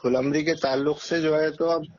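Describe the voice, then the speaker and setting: A man's voice: on the high side, fairly even intonation, moderate pace, a little mellow. A man with a Marathwada Dakhni accent asking questions informally over a recorded phone call, in a hesitant, roundabout, probing way. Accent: Marathwada Dakhni Hindi-Urdu. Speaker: caller questioning the candidate.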